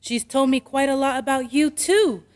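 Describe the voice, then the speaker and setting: A woman playing a brisk, practical, skeptical working mother in an old-time radio drama, dry and matter-of-fact. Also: high voice, close to an everyday voice. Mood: brisk, wry.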